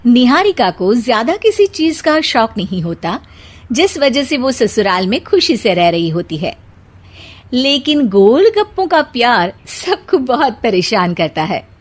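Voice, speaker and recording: Casting: female narrator for scripted cartoon family stories, voice on the higher side. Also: big pitch swings, unhurried.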